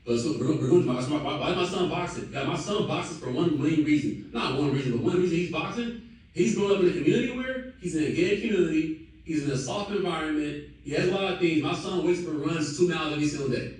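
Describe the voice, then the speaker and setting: A man's voice: on the high side, lively intonation, quick pace, darkish tone, very echoey, recorded from a distance, rough recording. A man in his thirties giving a hyped, slangy motivational talk to his team on a call. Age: 30s. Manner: hyped, repetitive, slangy and exclamatory. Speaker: company leader addressing a team call.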